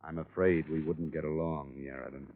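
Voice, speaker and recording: An American actor playing a wry, tough investigator with a deadpan, clipped delivery in 1950s radio drama. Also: male voice, rumbling low, moderate pace. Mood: dry, defiant. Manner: hard-boiled, deadpan, clipped. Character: wry, tough, dogged American insurance investigator telling his case. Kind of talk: 1950s radio detective acting and narration. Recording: muffled 1950 radio broadcast, music behind.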